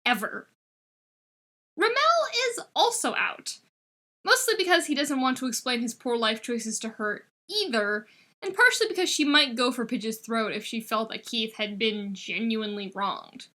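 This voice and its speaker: A woman reading a story aloud as an expressive narrator of scripted fiction. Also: fairly high pitch, normal speed. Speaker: podfic reader.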